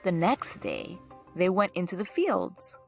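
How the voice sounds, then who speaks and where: A woman's voice: on the low side, animated, measured pace, creaky, soft background music. A woman hosting a storytelling podcast, reading scripted narration in a true-crime style.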